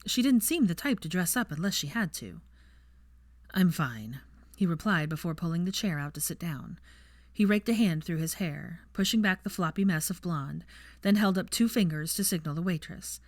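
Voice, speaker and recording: A woman's voice: lowish voice, fairly quick, no echo, clean studio sound. A woman reading fiction aloud as scripted audiobook-style narration.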